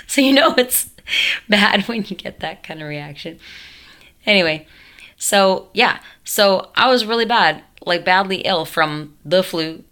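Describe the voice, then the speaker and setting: A woman's voice: medium pitch, expressive, takes it slowly, long pauses, fairly bright tone. A young woman vlogging at home, telling her story in a rambling, self-interrupting way full of fillers, her voice changed after a tracheotomy. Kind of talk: casual storytelling to camera.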